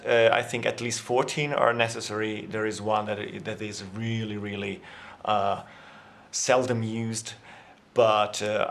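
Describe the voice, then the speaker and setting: A man in a language vlog, explaining grammar in a casual, thinking-aloud way with many fillers and restarts; his first language is Italian. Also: medium pitch. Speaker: language vlogger. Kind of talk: casual language lesson to camera.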